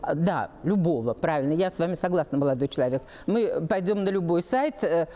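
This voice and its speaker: Older woman, lowish mellow voice, very varied intonation, emphatic, halting panel talk. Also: lively intonation. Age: 60s. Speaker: panel guest on a talk show. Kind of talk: opinionated panel discussion, unscripted. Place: TV studio with seated panel.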